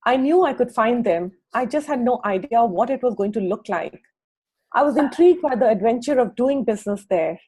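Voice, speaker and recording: Husky, higher-pitched woman reading aloud. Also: lively intonation, brisk, mellow, poor audio. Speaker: book club co-host. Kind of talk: reading a book aloud with informal comments.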